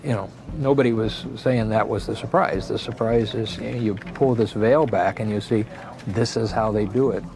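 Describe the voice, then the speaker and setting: Low-voiced reporter, emphatic. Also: male voice, moderate pace, creaky, darkish tone, slight background noise, rough recording. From grew up in Ecuador. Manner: conversational, emphatic with rhetorical questions. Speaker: former foreign bureau chief, reporter. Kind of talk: documentary interview.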